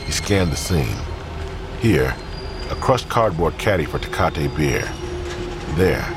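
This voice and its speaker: Very deep-voiced male narrator. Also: background noise. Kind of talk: scripted audio drama narration.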